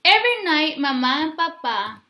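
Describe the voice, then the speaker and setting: A woman with a high, bright voice reads a children's story aloud in a roomy, echoey space. She is expressive and instructive, speaking straight to her young listeners as a teacher does. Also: moderate pace. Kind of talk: picture-book read-aloud with questions to young listeners. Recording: roomy, echoey room sound.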